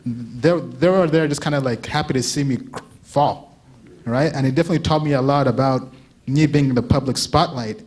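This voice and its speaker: A young man giving a casual conference talk, often checking in with a quick "right?" and restarting his sentences. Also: medium pitch, a little mellow. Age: young adult. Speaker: crypto analyst giving a talk.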